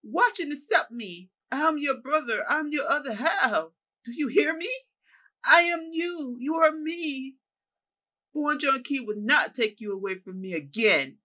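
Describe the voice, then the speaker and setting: A narrator voicing a grown man who talks like an excited child, pleading for his brother's approval. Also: sits high, unhurried, voice pushed up, exaggerated voice. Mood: desperate.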